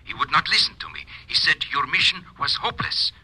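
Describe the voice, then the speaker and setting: A man's voice: on the high side, animated, fairly quick, bright, forceful tone, slightly rough, heavily acted. A man playing an earnest, formal informant reporting by telephone in an old-time radio drama. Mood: earnest, disappointed. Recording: vintage radio recording.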